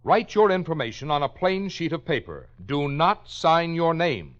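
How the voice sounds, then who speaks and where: A man's voice: fairly high pitch, very animated, moderate pace, fairly bright tone. A man working as a radio announcer, reading a formal, carefully enunciated script in 1950s broadcast style. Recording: muffled 1950 radio broadcast, faint music.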